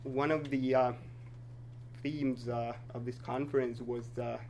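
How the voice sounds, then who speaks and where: Male academic presenter, North Sami first language, mid-pitched, halting delivery, faint background hum. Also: flat delivery, darkish tone. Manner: measured, hesitant, many filler pauses. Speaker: conference presenter. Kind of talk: academic conference talk.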